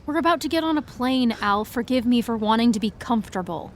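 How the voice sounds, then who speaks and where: An anxious teenage girl with a fairly high voice, glum and self-dramatising. Her complaints come out sarcastic and rambling in naturalistic audio drama style, over faint ambient vehicle noise. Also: lively intonation, fast talker. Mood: sullen. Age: young adult. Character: anxious, self-dramatising, miserable eighteen-year-old girl fan.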